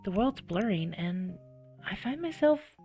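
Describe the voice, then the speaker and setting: Woman gamer, mid-pitched, animated story read-aloud with casual reactions, light music underneath. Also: measured pace. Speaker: gamer playing and reading a visual novel aloud.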